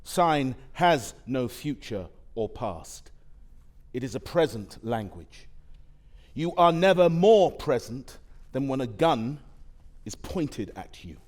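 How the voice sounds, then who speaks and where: A man giving a dramatic poetry recital on stage, measured and weighty, with deliberate pauses. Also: mid-range voice, big pitch swings, a little mellow. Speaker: actor reading poetry on stage. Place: theatre stage.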